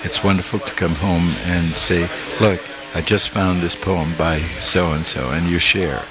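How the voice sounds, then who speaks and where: An older man from Italy, a small press publisher, being interviewed and speaking haltingly with many fillers and restarts. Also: low voice, fairly even intonation, low-quality recording. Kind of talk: interview conversation.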